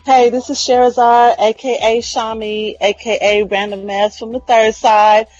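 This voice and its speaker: A woman's voice: a little high, little pitch movement, a little slow, darkish tone, soft background music. A woman introducing herself in a podcast ident. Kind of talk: podcast station ID. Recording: slightly muffled lo-fi recording.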